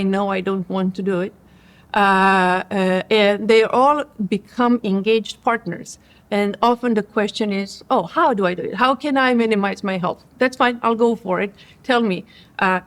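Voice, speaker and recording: Reflective woman from Croatia. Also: medium pitch. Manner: thoughtful, with many restarts and fillers. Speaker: cardiologist guest. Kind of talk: conference interview.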